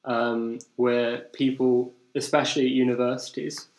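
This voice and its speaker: This is a young man with an English accent. He chats casually and tells anecdotes as a podcast host. His pitch is middling, and the recording is clearly roomy and echoing. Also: little pitch movement, normal speed, darkish tone. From England. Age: young adult.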